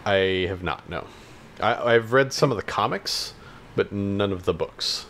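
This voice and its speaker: A man's voice: lowish voice, animated, unhurried, fairly bright tone, slight background noise. A man co-hosting a podcast in casual chat, matter-of-fact and questioning, feeling his way through sentences. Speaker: podcast co-host.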